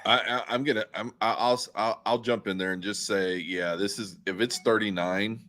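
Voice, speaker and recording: A man with a fairly low voice talks football casually as a show panelist. His delivery is halting, with words repeated as he works toward his point. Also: fairly even intonation, a bit bright. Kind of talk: casual sports chat.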